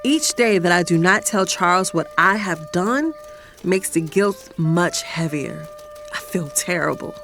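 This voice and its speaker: A woman's voice: mid-range voice, a bit bright. A woman playing a sassy, guilt-torn fiancée who narrates her own romantic drama in a confiding way. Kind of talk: first-person dramatic narration and romantic dialogue.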